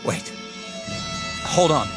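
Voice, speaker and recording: An actor playing a shaken, conscience-stricken army lieutenant in a radio drama, speaking hesitantly. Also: male voice, over music. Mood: dazed, uncertain. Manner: hesitant, halting.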